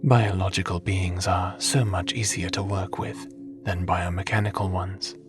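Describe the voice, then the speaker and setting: A man playing a calm, matter-of-fact advisor in a fantasy audio drama, speaking formally and without much emotion. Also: low voice, music in the background. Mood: explanatory. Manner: measured, formal, unemotional.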